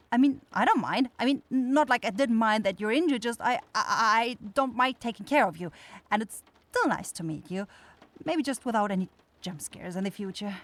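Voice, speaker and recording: A woman playing a kind young forest witch in an ASMR fantasy roleplay, shy and caring, easily flustered into stammering. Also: medium pitch. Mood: awkward, friendly.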